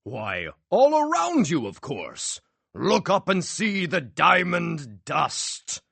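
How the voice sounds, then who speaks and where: A man's voice: a little high, wildly swooping pitch, creaky, a bit bright, husky, dry recording, voice pushed up, full character voice. A man putting on a grand, smug stage magician. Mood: showy. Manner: grand, drawn-out showman delivery. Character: showy male stage magician, theatrical and smug.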